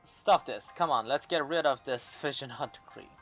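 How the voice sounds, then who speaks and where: A man's voice: medium pitch, normal speed, fairly bright tone. A man streaming a game, giving casual, offhand commentary in short muttered interjections. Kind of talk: casual gameplay commentary, reacting. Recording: dull lo-fi mic, game music behind.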